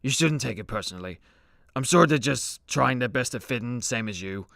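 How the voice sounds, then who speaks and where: An actor playing an easygoing, hard-drinking immortal demigod in a superhero comedy radio play, chatting casually like a storyteller. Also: male voice, mid-range voice, animated, normal speed, vocal fry, fairly bright tone, no room sound, clean studio sound. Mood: gentle, sincere.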